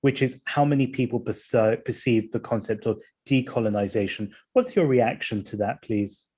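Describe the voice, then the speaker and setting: A man moderating a panel discussion, speaking in a measured way and reading out audience questions. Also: medium pitch, warm tone, slightly rough.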